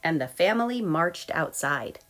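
A low-voiced woman reads a picture book to young children. She is expressive and measured in her phrasing, and soft music plays underneath. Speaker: storybook reader. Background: soft background music.

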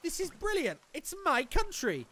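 A young Welsh man with a Welsh accent, streaming a survival game from a small room at home on a headset mic, excitable and joking as he talks to the game and to chat. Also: very high voice, normal speed, a little hiss or hum. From Wales. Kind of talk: live gameplay commentary.

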